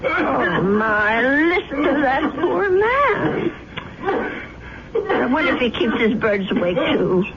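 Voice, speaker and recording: A woman's voice: high-pitched, sing-song, takes it slowly, heavily acted. A fluttery old grandmother. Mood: concerned. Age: older. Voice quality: fluttery, talkative, easily flustered. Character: elderly widowed grandmother, chatty, kindly, fussy. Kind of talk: 1970s radio drama acting. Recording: dull old radio broadcast with hiss.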